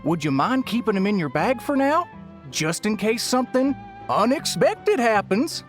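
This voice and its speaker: A man's voice: high-pitched, sing-song, moderate pace, faint music behind. A man voicing a genial, theatrical festival greeter in a video game, grand and ceremonious. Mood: encouraging.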